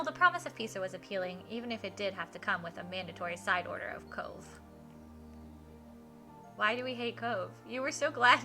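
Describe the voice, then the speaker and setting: A woman streaming a visual novel, reading it aloud and reacting in an enthusiastic, expressive way. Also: on the high side. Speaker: game streamer.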